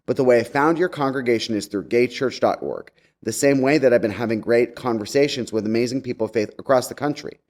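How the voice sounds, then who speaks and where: A man's voice: medium pitch, fast, a little mellow. A man giving a conversational message to a congregation as a guest speaker, chatty and wry, with campy slang.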